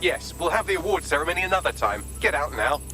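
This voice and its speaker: An actor playing a dry, wry assistant guiding agents in a video game, with a brisk manner. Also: male voice, on the high side, very animated, bright, forceful tone, faint music behind. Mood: dry, amused. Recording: clear ambient noise behind.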